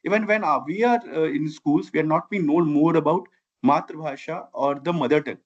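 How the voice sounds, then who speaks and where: Higher-pitched Indian man, muffled audio. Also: fairly quick. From Bihar, India. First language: Maithili. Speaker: interview guest. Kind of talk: conversational personal storytelling. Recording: dull, lo-fi audio.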